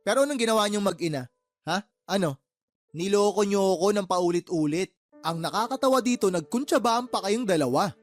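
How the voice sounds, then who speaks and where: A man's voice: high-pitched, lively intonation, a little fast, no echo. A man playing a fed-up, exasperated uncle and boss in a Tagalog radio drama, scolding his sister with heated, sarcastic questions. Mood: angry, fed up.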